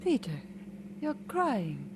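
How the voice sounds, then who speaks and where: An actress playing a gentle, devoted pastor's wife with composed delivery in an old-time radio drama. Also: female voice, high voice, wildly swooping pitch, takes it slowly, background noise, heavily acted. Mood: concerned.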